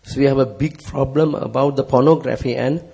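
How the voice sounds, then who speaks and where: Middle aged sri lankan guy preaching, kinda raspy, halting broken english in short bits for a translator. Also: medium pitch, fairly even intonation, mellow. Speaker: visiting preacher. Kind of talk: sermon through an interpreter, in short phrases.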